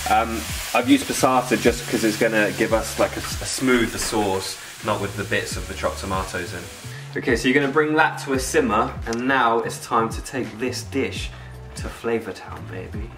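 A man's voice: lowish voice, normal speed, fairly bright tone, faint music behind. A British man in his twenties hosting a casual cooking tutorial in an informal, enthusiastic way from a home kitchen. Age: 20s. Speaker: cooking video host.

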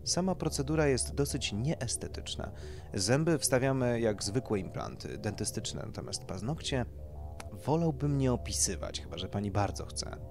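A man's voice: mid-range voice, big pitch swings, normal speed, over music, voice pushed up. A man voicing a polite, tactful male doctor who explains procedures clinically in an audiobook. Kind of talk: audiobook character dialogue.

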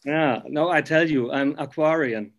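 Male interviewer, fairly high voice, halting English, informal conversational delivery. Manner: halting non-native English. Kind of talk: casual interview chat.

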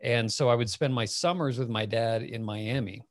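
A man in his late forties, who grew up in Phoenix, talks through a headset from a home office. His voice is mid-pitched and the recording is dry and close. He tells long stories at an easy pace and fills his pauses with "um". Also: no echo. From Phoenix, Arizona. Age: 40s. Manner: relaxed, rambling, many fillers. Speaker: guest, company founder. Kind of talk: casual storytelling interview. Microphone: headset over video call.

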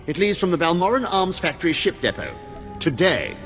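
An actor playing a pompous, cowardly, whining Imperial official in a video game, haughty and fussy. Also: male voice, fairly high pitch, wildly swooping pitch, a little fast, a bit bright, full character voice. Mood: grudging. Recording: long hall reverb, music behind.

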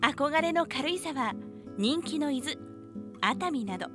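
A woman's voice: high voice, lively intonation, slow. A woman radio DJ reading scripted commercial narration. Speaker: radio DJ and voice-over artist. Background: music.

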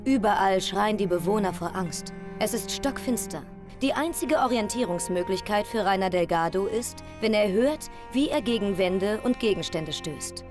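Eine Frau liest als Reporterin den vorbereiteten Text eines Magazinbeitrags. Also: female voice, medium pitch, lively intonation, moderate pace. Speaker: reporter voice-over. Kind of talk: scripted magazine report narration. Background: loud music.

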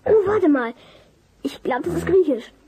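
A cheeky young amateur sleuth in an audio drama. The voice is high yet soft and mellow, with an eager, bold delivery. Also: female voice, animated, slight background noise. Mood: curious. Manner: bold, quick to speculate. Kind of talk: German audio drama acting.

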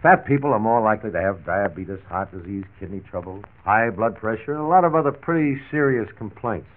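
Give me a man acting a 1940s radio drama family doctor, frank and reassuring. His voice sits fairly low and moves around in lively swings as he explains things firmly. The sound is narrow and tinny, like an old transcription disc. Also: brisk, lightly acted.

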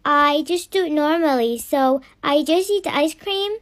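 A woman's voice: high-pitched, moderate pace, lightly acted. A woman voicing a chirpy little child who talks eagerly to an older sister.

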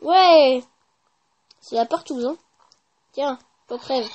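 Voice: high-pitched, slow pace, a little mellow. A gaming YouTuber giving casual, informal gameplay commentary with slang and swearing.

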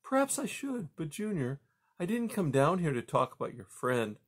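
A man voicing a gentle, anxious mother mouse in a storybook, speaking politely and earnestly with some hesitation. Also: on the high side, very animated, moderate pace, husky, voice pushed up. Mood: patient, reproving.

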